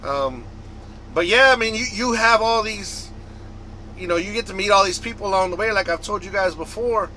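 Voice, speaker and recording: Bright-voiced man rambling in a casual vlog. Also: sits high, normal speed, a little hiss or hum, low-quality recording. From United States. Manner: rambling, conversational, repeats himself. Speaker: trucker vlogger. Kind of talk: casual vlog talk to viewers.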